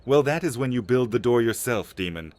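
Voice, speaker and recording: Man playing older alchemist, audio drama, theatrical, ingratiating, medium pitch, very animated intonation. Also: brisk, natural voice. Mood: wry, probing. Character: eager older alchemist begging to become a vampire. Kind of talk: audio drama roleplay acting.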